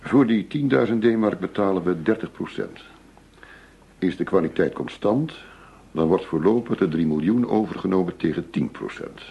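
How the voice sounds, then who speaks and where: An actor playing a shrewd, businesslike undercover negotiator posing as a money dealer in a radio drama. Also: male voice, low-pitched, lively intonation, creaky, mellow, husky, lo-fi sound, full character voice. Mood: businesslike, guarded. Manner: measured, businesslike, formal.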